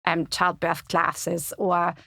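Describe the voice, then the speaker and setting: Gravelly-voiced German woman, podcast guest. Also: on the low side, flat delivery, takes it slowly, sharp tone, dry recording, clean studio sound. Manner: thoughtful, many fillers and restarts. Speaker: podcast guest, community website founder. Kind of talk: casual interview chat. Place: podcast studio.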